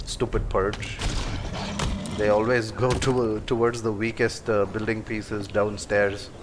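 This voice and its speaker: A man's voice: on the low side, level tone, slow pace, background noise. A man casually commenting on his game during a live stream, rambling along with many fillers. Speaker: gameplay streamer.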